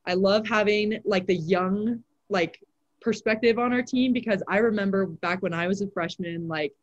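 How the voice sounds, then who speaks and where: A teenage girl, a high school volleyball player, answering interview questions in a casual way with lots of "like" fillers. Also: moderate pace, dull audio. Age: teens. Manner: chatty, many "like" fillers.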